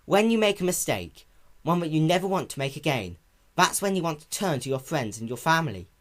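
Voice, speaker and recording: A performer voicing a caring female pony in a cartoon-based story reading, soft, patient and reassuring like a big sister. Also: medium pitch, expressive, a little fast, pitched above the performer's own voice, lightly acted.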